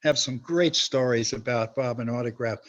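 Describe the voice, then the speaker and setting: An older man reminisces casually in a medium-pitched voice, rambling and voicing the people he quotes. The sound is dull and lo-fi. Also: normal speed, over loud music. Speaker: storyteller, show-business veteran. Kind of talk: casual anecdote.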